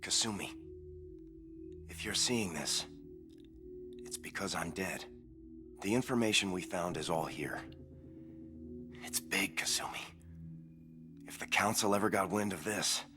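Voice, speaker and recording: A man acting in a video game as a doomed lover leaving a last message, speaking in a hushed, halting way. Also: deep voice. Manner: hushed, halting, breathy delivery. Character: dying tender male lover leaving a last recorded message. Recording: dramatic music behind the voice.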